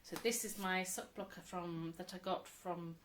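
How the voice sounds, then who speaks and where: A woman in her thirties, chatting casually at home about her crochet, a little croaky from a cold, rambling and laughing often. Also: fairly low pitch, fairly even intonation, normal speed, background noise. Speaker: crochet vlogger, mother. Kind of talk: casual show-and-tell chat.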